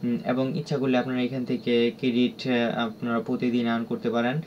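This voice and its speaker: A man with a Bangladeshi accent giving an unscripted app tutorial in a halting, repetitive, instructional way. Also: mid-range voice, completely flat delivery. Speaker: tutorial presenter. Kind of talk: unscripted screen-recorded app walkthrough. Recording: dull lo-fi audio with clear background noise.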